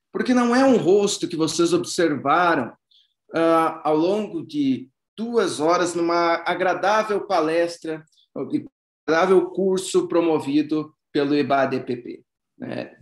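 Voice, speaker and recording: Guy giving a laid-back online talk, lots of "né", higher pitch, roomy echo. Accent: non-Bahian Brazilian Portuguese. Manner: informal, conversational, frequent fillers and restarts. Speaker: psychologist lecturer. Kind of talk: online lecture.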